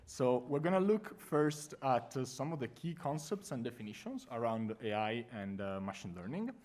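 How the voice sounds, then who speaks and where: Italian-accented male presenter, mid-pitched, friendly conference-room delivery. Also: normal speed. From Italy. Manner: friendly, lively presenting with fillers. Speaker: workshop presenter. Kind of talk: conference workshop talk.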